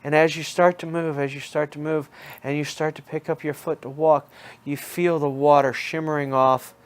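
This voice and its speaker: A man's voice: on the high side, little pitch movement, moderate pace, clear, resonant tone. Man narrating hypnotic guided imagery. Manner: hypnotic, repeats phrases soothingly. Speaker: guided relaxation storyteller.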